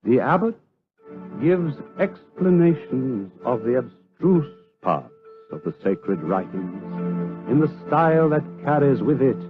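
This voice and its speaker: Male actor as audio drama narrator, stern, formal, weighty, mid-pitched, band-limited, music behind. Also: sing-song, slow pace. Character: stern male narrator describing a fearsome preacher. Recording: muffled narrow-band sound with loud organ music.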